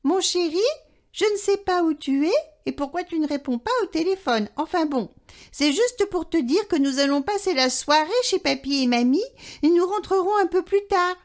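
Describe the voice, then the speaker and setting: A woman's voice: very high-pitched, lively intonation, normal speed, voice pushed up. A woman voicing a cheerful mother leaving a breezy phone message. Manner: bright, breezy, affectionate.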